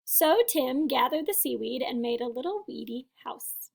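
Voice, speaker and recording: A woman teacher doing an expressive storybook read-aloud for first graders, chatting to them and asking questions. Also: high voice, moderate pace, gravelly. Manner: expressive, addressing young children with questions. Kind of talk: animated children's read-aloud.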